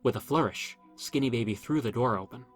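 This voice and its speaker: A man with a mid-range voice narrates a first-person horror story. His delivery is measured and dramatic, with faint music running underneath. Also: mid-range voice, breathy edge.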